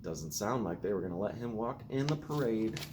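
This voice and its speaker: A man's voice: on the low side, animated, normal speed, background noise. A man reading a children's storybook aloud expressively, with playful asides. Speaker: school staff member reading aloud to children.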